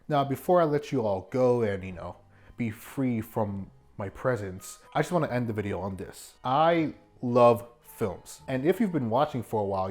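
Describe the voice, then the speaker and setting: A man narrating a video essay in an informal, jokey way, with animated asides and some swearing. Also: mid-range voice. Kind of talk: scripted video essay commentary with joking asides. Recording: music bed behind the voice. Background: background music.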